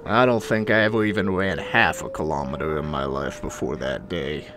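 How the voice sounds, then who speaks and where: A man's voice: a bass voice, steady tone, normal speed, noisy background. An actor playing a scruffy, foul-mouthed space adventurer, rambling and sardonic as he narrates a sci-fi audio drama. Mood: wistful, rambling.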